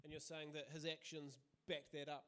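A man's voice: a little high, level tone, normal speed, fairly bright tone. A man hosting a panel discussion, explaining things in a hesitant, careful way. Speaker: host and moderator of a panel discussion. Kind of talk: moderating a church panel discussion. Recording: clearly hissy background noise.

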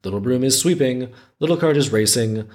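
A man's voice: mid-range voice, lively intonation, brisk, a little mellow, pitched above the performer's own voice, close to an everyday voice. A man reading the part of a frantic, defensive little household object in a folktale, in an emphatic, indignant recital.

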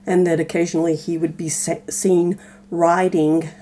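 A woman co-hosting a casual podcast, explaining things in a halting, thoughtful way. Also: lowish voice, level tone, dark tone. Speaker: podcast co-host.

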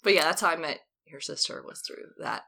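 A young woman in her twenties from Texas, a podcast guest, tells stories in a candid, self-mocking way. Her voice is low-pitched and scratchy this morning, yet the tone stays bright. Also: expressive, brisk, vocal fry. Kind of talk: casual storytelling chat.

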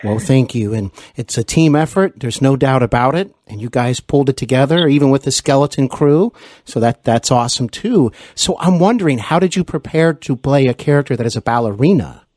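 A man hosts an interview podcast in a conversational style, with a mid-pitched voice. He pauses and feels his way through compliments, and the sound is dry and close. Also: lively intonation, darkish tone, no room sound.

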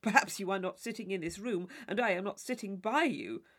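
A woman voicing a witty, teasing gentleman in his mid-twenties, fluent and mock-formal, in a classic novel reading. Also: mid-range voice, a little fast, natural voice. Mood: playful, mock-serious. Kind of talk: classic novel audiobook character voice.

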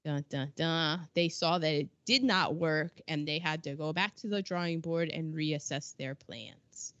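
A woman's voice: deep voice, measured pace, fairly bright tone, no echo. A chatty woman in her forties co-hosts a podcast, reading through past events with quips and playful acted quotes. Her voice sits dry and close. The recording is slightly dull. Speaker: podcast co-host. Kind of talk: casual chat reading out history notes.

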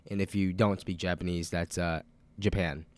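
A podcast host who is sick with a cold and sounds nasal, talking in a loose, rambling, profane way with lots of fillers. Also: male voice, low voice, steady tone, normal speed. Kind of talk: casual rambling chat.

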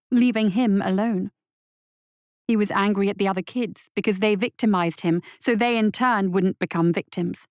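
A woman's voice: mid-range voice, no echo, studio quality. A woman reading a scripted fiction story aloud as its narrator.

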